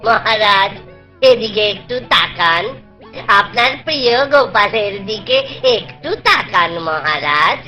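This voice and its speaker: An actor voicing a devoted, witty court jester who pleads and flatters respectfully in a Bengali children's cartoon. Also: medium pitch, slow pace, faint music behind, low-quality recording. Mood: pleading.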